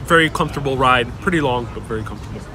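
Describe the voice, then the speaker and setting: A man's voice: medium pitch, rapid-fire. A young man whose first language is Spanish, hosting a casual livestream and reading viewer questions aloud as he chats outdoors. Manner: reads viewer questions aloud and rambles warmly. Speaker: livestream host. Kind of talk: casual live-stream chat. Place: outdoors on a busy city street. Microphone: wireless clip-on mic. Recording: outdoor street with clear crowd noise. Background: street crowd and passing traffic.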